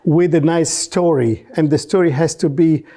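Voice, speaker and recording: Man from Egypt, higher pitch, non-native English, interview storytelling, repeats words for emphasis, halting. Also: warm tone. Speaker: podcast guest, researcher and businessman.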